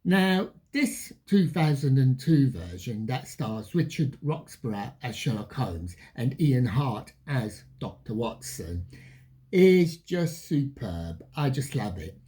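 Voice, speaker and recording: Slow, husky reviewer with lively pitch swings. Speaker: film reviewer, channel host. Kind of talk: retrospective film review commentary.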